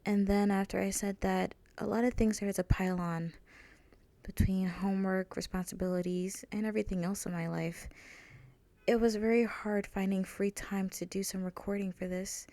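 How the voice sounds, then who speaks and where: A woman's voice: medium pitch, fairly even intonation, moderate pace, dark tone, no echo. A woman reading out her own fan fiction as a scripted storybook narration.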